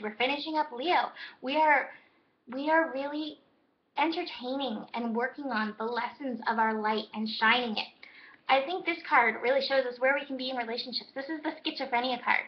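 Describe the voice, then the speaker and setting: High-voiced hoarse woman astrologer. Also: dull audio. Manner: gentle, reflective, spiritual. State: hoarse, losing her voice. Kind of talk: daily horoscope talk.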